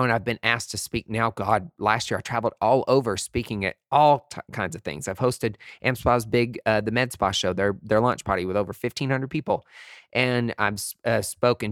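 A man's voice: fairly low pitch, no echo, studio quality. A man giving a candid, casual motivational monologue in a sassy, emphatic, conversational way, with camp slang and some swearing. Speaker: podcast speaker, motivational aesthetics-industry personality.

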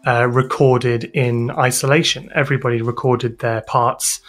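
Halting British man explaining his work. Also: medium pitch, level tone, a bit bright, faint music behind. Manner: hesitant, halting phrasing with repeated words. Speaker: interview guest, audio drama sound designer and composer. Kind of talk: explanatory interview talk.

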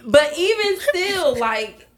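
A woman in her twenties co-hosting a podcast, frank and expressive, drawing out her words. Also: high voice, slow pace. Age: 20s. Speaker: podcast co-host. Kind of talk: casual chat.

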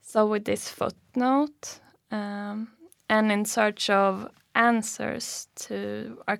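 A woman hosting a radio documentary, asking measured questions in an interview. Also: mid-range voice, creaky, no echo.

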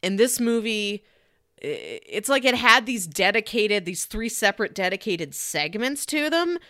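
A woman's voice: medium pitch, very animated, a little slow, pushed and bright, no echo, clean studio sound. A woman co-hosting a podcast, talking casually and analytically as she explains her views on films. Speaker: podcast co-host. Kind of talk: casual film discussion podcast.

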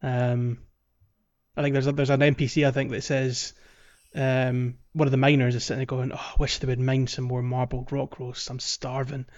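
A man co-hosting a casual gaming podcast, talking in a halting way with thoughts that trail off. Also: mid-range voice, little pitch movement, a little mellow, muffled recording. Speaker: podcast co-host.

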